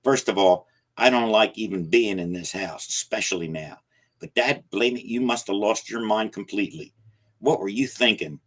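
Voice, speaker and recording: A man voicing an aging rural man who scolds in rough, folksy grammar, angry and frightened. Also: fairly low pitch, moderate pace, lightly acted. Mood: urgent, scolding. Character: gruff aging backwoods man, scared and angry.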